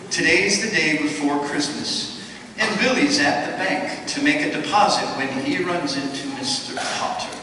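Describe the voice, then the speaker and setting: An actor playing a solemn elder angel narrating in a measured way in a live radio play. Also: male voice, medium pitch, bright, forceful tone, slight background noise. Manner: measured storytelling. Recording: echoey hall from far off.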